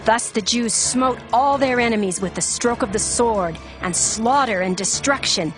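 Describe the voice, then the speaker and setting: A woman's voice: fairly high pitch, normal speed, low-quality recording. Woman narrating scripture over prominent music.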